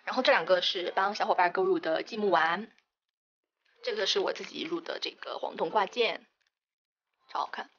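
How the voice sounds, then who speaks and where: A woman's voice: lowish voice, moderate pace, long pauses, fairly bright tone, dull audio, a little hiss or hum. A young woman chatting enthusiastically about her stationery haul, mixing into her speech. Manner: chatty, enthusiastic, many fillers. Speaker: stationery vlogger. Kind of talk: casual unboxing narration.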